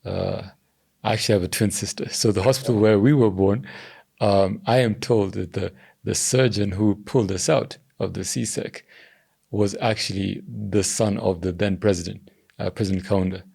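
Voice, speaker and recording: Deep, gravelly man with a mellow tone. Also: fairly even intonation. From Zambia. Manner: measured, hedging with "I think" and "you know". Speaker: podcast guest, governance strategist. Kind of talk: thoughtful interview answers.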